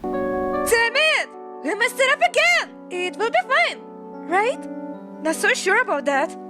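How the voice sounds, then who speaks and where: Woman doing squeaky high cartoon characters over loud music. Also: very animated, takes it slowly, exaggerated voice. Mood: frustrated. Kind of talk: comic dub voice acting. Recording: clip with loud background music.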